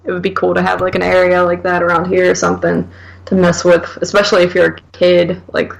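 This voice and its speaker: Creaky-voiced woman in casual chat. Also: lowish voice, completely flat delivery, quick pace, low-quality recording. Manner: slangy, heavy use of "like". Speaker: horror film podcast co-host. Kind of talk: casual film review chat.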